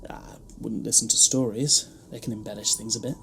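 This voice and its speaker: A man's voice: on the low side, fairly even intonation, fairly quick, vocal fry, fairly bright tone, slight background noise. A man playing a wry, weary wandering time traveller in an audio drama, flippant and dryly humorous. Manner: flippant, dry humour.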